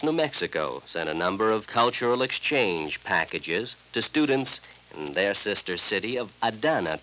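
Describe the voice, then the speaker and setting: A man reading a scripted, formal public service message as a radio announcer, earnest and measured. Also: lowish voice. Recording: muffled old radio broadcast.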